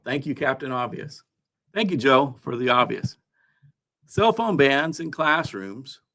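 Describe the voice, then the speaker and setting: Male news countdown host, mid-pitched, halting delivery, half-scripted commentary with dry asides. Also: a bit bright. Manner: halting, with frequent throat clearing and wry asides. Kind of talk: news commentary, part read, part ad-libbed.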